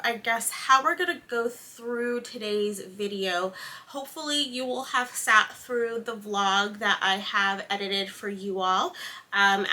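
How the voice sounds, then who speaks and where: A woman's voice: medium pitch, bright, forceful tone. A young woman with a congested voice, hosting a knitting podcast as a rambling, unscripted sit-down chat full of ums. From East Coast of the United States. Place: home, seated indoors.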